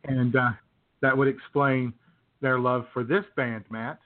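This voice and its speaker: A man's voice: mid-range voice, level tone, measured pace. Tinny-sounding man hosting casual music radio. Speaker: radio co-host introducing songs.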